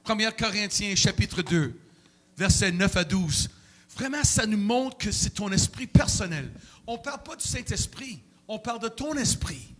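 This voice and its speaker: A middle-aged man, preaching a sermon in a church with emotional, animated delivery and sudden outbursts. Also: sits high, unhurried. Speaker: pastor.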